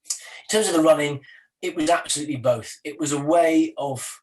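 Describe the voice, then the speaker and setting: A man's voice: on the high side, normal speed, recorded from a distance, low-quality recording. Guy in his middle years, poet on a video call, sits a bit back from the mic, stumbles and repeats words now and then. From South Wales. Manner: thoughtful, with stammer repetitions and fillers. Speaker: poet, guest. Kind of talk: casual webinar conversation.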